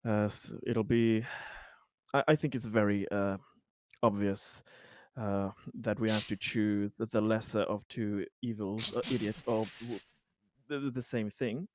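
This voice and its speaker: A man improvising in-character dialogue in a tabletop roleplaying game, halting and hesitant as he searches for words. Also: on the low side, steady tone, no room sound.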